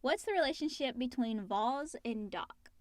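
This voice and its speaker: A woman's voice: a little high, expressive, moderate pace, dry recording. A woman co-hosting a casual question-and-answer chat, reading out questions and chiming in. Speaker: co-host reading listener questions.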